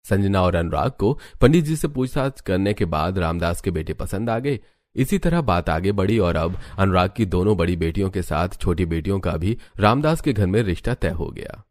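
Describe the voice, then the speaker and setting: A man tells a scripted cartoon family tale as its narrator. His voice sits low, and the recording is dry, with very little room sound. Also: animated, normal speed, a little mellow, no echo. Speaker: story narrator. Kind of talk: scripted Hindi storytelling narration.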